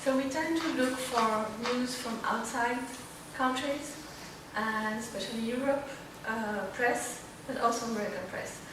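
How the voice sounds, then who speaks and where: Slow-speaking woman from Morocco, far off. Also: a little high. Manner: slow and deliberate, with frequent fillers. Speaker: student panelist. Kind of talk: panel talk at a book launch. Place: large echoey library hall. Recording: echoey hall, distant, room noise.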